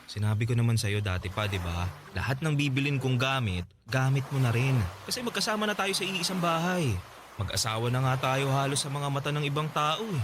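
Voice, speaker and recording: An actor playing a devoted young boyfriend in a radio drama, gentle and reassuring. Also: male voice, medium pitch, expressive, fairly quick, natural voice. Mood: tender. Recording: clear background noise.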